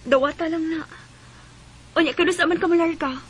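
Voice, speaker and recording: Very high-voiced young Cebuano wife. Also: female voice, steady tone, brisk. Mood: concerned, tender. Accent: Cebuano Filipino. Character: young Bisaya wife, trusting then anxious and hurt. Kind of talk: radio drama acting.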